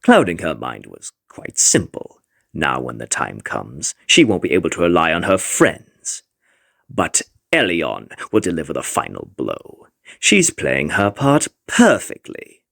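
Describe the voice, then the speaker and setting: A scheming villain lord from a fantasy comic dub, very deep and slow. The delivery swoops dramatically, dripping with sinister condescension and gloating. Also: male voice, huge pitch swings, creaky, fairly bright tone, no echo, studio quality, exaggerated voice. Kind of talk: comic fandub voice acting.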